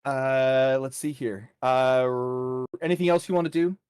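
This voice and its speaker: A man acts as game master for a tabletop role-playing session, improvising narration in an informal, colorful way. He takes his time with an unhurried pace and a middle-range voice. The sound is dry and close, with no room echo. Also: mid-range voice, darkish tone, smooth.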